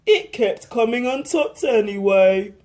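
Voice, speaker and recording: A woman voicing a big, kind-hearted male giant in a children's storybook, hearty and booming. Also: a little slow, a little mellow, natural voice. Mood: concerned, cheery.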